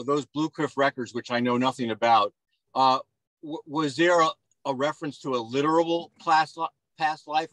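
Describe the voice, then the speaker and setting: A man's voice: fairly high pitch, a bit bright, no room sound, low-quality recording. A man asking a question in a group discussion on a video call, speaking hesitantly and restarting his words. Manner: hesitant, halting with repeated word starts. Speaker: audience member asking questions.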